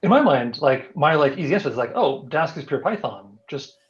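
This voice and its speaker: A man's voice: a little high, sing-song, fairly quick. A man co-hosting a video-call chat, asking curious follow-up questions. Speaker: co-host. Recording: dull lo-fi call audio.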